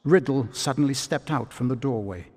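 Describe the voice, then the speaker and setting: A man's voice: mid-range voice, normal speed, darkish tone. Male storybook narrator with long reverb. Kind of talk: scripted storybook narration for a video game.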